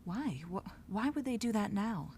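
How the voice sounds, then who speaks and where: A woman's voice: medium pitch, lively intonation, normal speed, darkish tone. An actress playing a flustered, protective defense lawyer in an audio drama, formal and hesitant in her speech. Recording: clearly noisy, with clicks.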